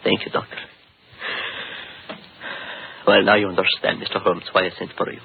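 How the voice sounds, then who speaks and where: A haunted aristocrat, very husky voice, formal and dramatic, muffled old radio recording. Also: male voice, sits low, flat delivery, moderate pace, heavily acted. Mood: shaken, grave. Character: haunted, fearful aristocratic count, polite but desperate. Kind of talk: 1940s radio mystery acting.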